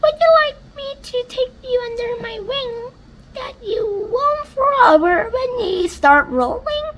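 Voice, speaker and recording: A woman voicing a kind, gentle female ladybird in a storybook reading, speaking earnestly in a raised, high voice. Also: lively intonation, slow pace, slight background noise, exaggerated voice, low-quality recording. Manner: earnest, explanatory, rising high in pitch.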